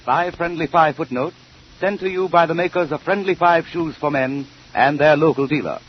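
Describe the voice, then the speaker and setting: A man's voice: a little high, moderate pace. An American man announcing a sponsored 1930s radio program from a script, in a formal, emphatic salesman's delivery on an old radio recording. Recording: muffled, noisy early 1930s radio recording.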